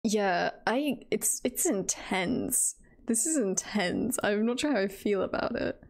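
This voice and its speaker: A woman's voice: medium pitch, expressive, unhurried, vocal fry, a little mellow. A woman chatting casually on a livestream in a giggly, playful, rambling way. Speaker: livestreamer, virtual avatar host.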